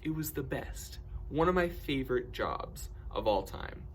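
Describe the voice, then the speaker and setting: Guy doing story time, dramatic delivery, takes it slow, some background noise. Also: medium pitch. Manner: theatrical, acts out dialogue excitedly. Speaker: camp storyteller and science educator. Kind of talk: animated personal storytelling.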